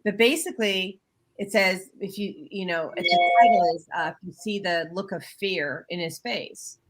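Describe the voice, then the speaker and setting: A woman's voice: lowish voice, normal speed, very noisy. A woman hosting a talk radio show, chatting casually with her guest and rambling with frequent restarts. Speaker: host.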